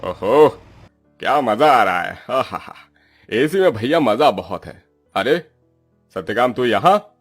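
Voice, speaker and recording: A man voicing a rude, lazy rich village landlord in a cartoon, gruff and haughty as he scolds. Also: medium pitch, expressive, slow pace, long pauses, faint music behind, full character voice. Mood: smug. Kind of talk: Hindi moral-story cartoon voice acting.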